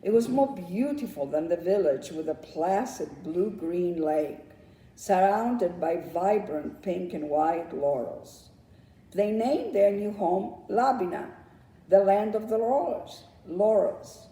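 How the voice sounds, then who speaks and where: A woman narrates a children's storybook in a very dark, mellow voice with some gravel in it. Her phrasing is dramatic and drawn out, with lively swings in pitch. She sounds set back from the microphone in an echoey room. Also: slow. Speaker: children's author reading own book. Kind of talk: storybook read-aloud narration. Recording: roomy echo, distant microphone.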